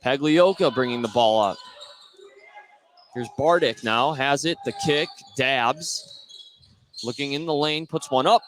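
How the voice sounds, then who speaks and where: A man's voice: medium pitch, a little slow, long pauses, a bit bright, slight background noise. A man doing live play-by-play radio commentary of a basketball game.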